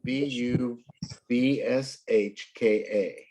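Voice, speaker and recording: A man tutoring a child in English, patient and a little hoarse from a cold, often clearing his throat. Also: medium pitch, steady tone, extremely slow, dark tone, low-quality recording. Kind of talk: online one-to-one lesson.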